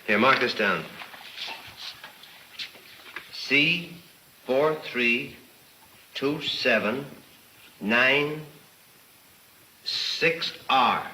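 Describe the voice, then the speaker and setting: Crisp-toned police lab technician. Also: male voice, medium pitch, animated, extremely slow, pauses often, bright, forceful tone, slight background noise, exaggerated voice, poor audio. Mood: methodical. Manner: explanatory, matter-of-fact. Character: earnest male police lab technician explaining evidence. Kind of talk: 1940s crime serial film acting. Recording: old 1940s film soundtrack.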